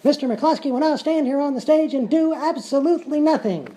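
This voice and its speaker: A woman playing a showy, fast-talking medicine show huckster in a live radio-style comedy sketch. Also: high voice, lively intonation, warm tone. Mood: grand announcing. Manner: showy, smooth-talking salesman patter.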